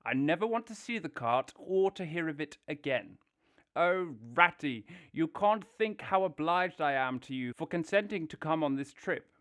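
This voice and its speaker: A man acts out a vain toad lost in rapture for an audio drama. The voice is high and very animated, lingering slowly over grand, gushing phrases. It sounds close and dry. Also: no room sound. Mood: gushing, indignant. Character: vain, rapturous male toad, dreamy and car-obsessed.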